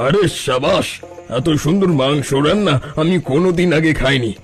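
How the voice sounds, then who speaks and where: A man's voice: a little high, moderate pace, natural voice. A man voicing a sly, wheedling fake holy man in a cartoon folktale. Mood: ingratiating. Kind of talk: Bengali cartoon folktale acting. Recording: music bed behind the voice.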